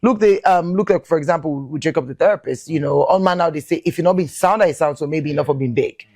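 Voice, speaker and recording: A man with a fairly high voice, talking quickly as he hosts an interview. The sound is dry and close, with no room echo. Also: a little mellow. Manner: quick, frequent backchannel agreement. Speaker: host. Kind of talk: casual interview talk.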